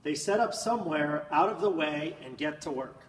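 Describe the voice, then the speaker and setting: A man reads a picture book aloud with theatrical emphasis and drawn-out words. His voice sits a little high. It comes from some distance in a very echoey room, with a faint layer of noise. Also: expressive. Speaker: storybook reader. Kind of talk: expressive children's picture-book read-aloud.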